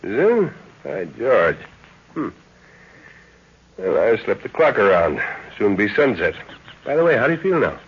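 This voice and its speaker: A man's voice: on the low side, sing-song, a little slow, pauses often, slightly rough, exaggerated voice. An actor playing a middle-aged, fatherly professor and explorer, hearty and thoughtful, in an old radio drama. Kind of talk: 1930s radio acting. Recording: muffled 1930s radio recording.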